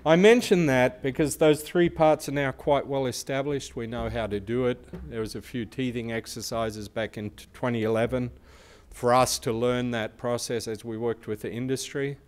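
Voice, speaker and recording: A man with a big loud voice giving a conference presentation as a research institute speaker, explaining and asking the audience questions. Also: medium pitch, steady tone, moderate pace, clean tone.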